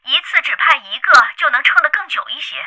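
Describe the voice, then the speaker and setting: A woman's voice: sits high, sing-song, fast, sharp tone, full character voice, poor audio. Woman acting a piercing, sarcastic colleague on phone. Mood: biting, incredulous. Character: sharp-tongued, sarcastic, brisk female colleague. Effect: telephone filter. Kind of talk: radio drama acting.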